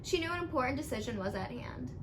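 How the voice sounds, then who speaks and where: A woman with a fairly high voice reads a picture book aloud to children. Her delivery is expressive storytelling, and the recording has clear background noise. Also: quick pace. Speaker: storybook reader.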